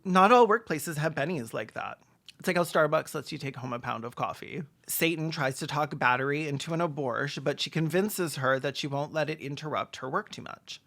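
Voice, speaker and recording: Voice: medium pitch, fairly quick, fairly bright tone. A video essayist giving sarcastic scripted film commentary, campy and full of joking asides.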